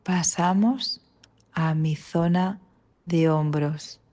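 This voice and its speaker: A woman with an accent from Spain leading a guided meditation, calm and gentle, with long pauses between short instructions. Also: on the low side. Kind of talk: guided mindfulness body scan meditation.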